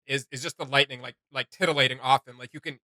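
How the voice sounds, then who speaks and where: A man chatting on a reaction channel, excited and rambling, full of likes and ums. His voice has a bright edge. It is recorded dry and close. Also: medium pitch, fast talker, dry recording. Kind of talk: casual reaction chat.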